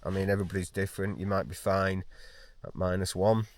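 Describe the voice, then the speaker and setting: A man's voice: deep voice, a little fast. A man chatting casually to camera while wild camping on a windy hill. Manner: relaxed, chatty, rambling with fillers. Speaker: hiking vlogger. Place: outdoors on a windy fell and inside a small tent. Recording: wind and outdoor noise.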